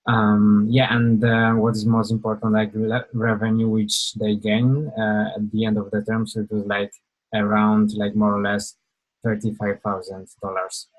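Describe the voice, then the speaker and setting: Low flat-voiced man presenting. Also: moderate pace, low-quality recording. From Poland. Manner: hesitant, many fillers and restarts. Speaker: webinar presenter. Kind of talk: informal online presentation.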